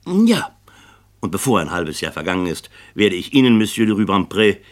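Radio play: condescending book dealer, deep voice, slippery self-important delivery. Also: male voice, big pitch swings, normal speed. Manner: pompous, evasive. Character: shrewd, smoothly condescending male publisher. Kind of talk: German radio play acting.

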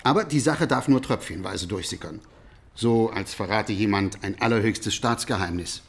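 An actor playing a prudent, fussy imperial chancellor in a radio drama, officious and deliberate. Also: male voice, fairly low pitch, creaky. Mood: officious, cautious.

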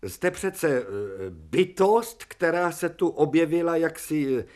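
A refined, short-tempered professor, played by a male actor in a radio drama. He stays icily polite while barely holding back irritation. His pitch moves freely as he talks. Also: expressive, darkish tone, close to an everyday voice. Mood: ironic. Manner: ironic, polite, exasperated.

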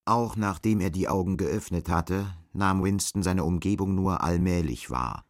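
A man's voice: low voice, moderate pace. A man narrating a scripted literary radio drama.

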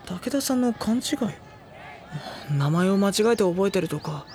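An actor playing a gentle, worrying high-school boy in a Japanese audio drama, soft-spoken and polite. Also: expressive, moderate pace, background noise. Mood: puzzled. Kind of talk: Japanese audio drama acting with introspective narration.

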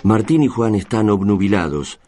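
A man narrating a radio drama in a solemn, measured, literary manner. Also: on the low side, expressive, mellow. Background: music.

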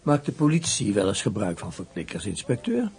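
An actor in a radio drama playing a confident military camp commandant, brisk and officious, with a husky voice. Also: male voice, on the low side, huge pitch swings, a little mellow, heavily acted. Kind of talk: radio play acting.

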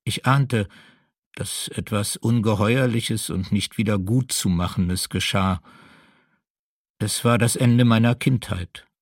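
A sombre male witness looks back soberly in a radio documentary drama. His voice is fairly low and gravelly, with a very dry sound. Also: slow, no room sound. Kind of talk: documentary radio play testimony.

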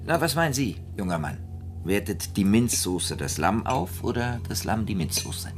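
Deep-voiced, swooping, ponderous male professor. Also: sing-song, normal speed, soft background music. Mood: pompous, rhetorical. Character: verbose Cambridge professor holding forth over Sunday lunch. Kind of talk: literary radio drama acting.

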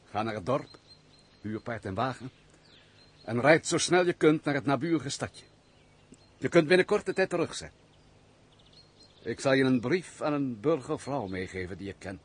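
A brooding nobleman in a radio drama, embittered and curt. His voice is husky and swings widely in pitch, with formal, theatrical phrasing. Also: animated, normal speed, long pauses. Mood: curt, commanding. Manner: formal, declamatory. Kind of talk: Dutch radio play acting, theatrical old-fashioned diction.

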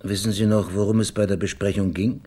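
A man's voice: on the low side, steady tone, brisk, dark tone, slightly rough. An actor playing a wry, laconic private investigator and former policeman in a radio crime drama. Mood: businesslike. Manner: dry, laconic, understated. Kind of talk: radio crime drama acting with narrated inner monologue.

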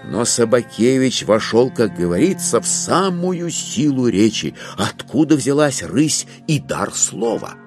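Wry literary narrator, mid-pitched with lively swings, scripted audio drama, music underneath. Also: male voice, unhurried, few pauses.

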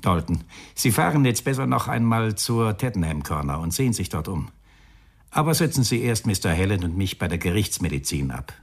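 A police inspector in a radio crime drama, with a low voice and a husky edge. He is terse and businesslike, quick to grumble, and puts his questions in clipped phrases. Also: big pitch swings, full character voice. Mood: irritated. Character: terse, easily irritated male police inspector.

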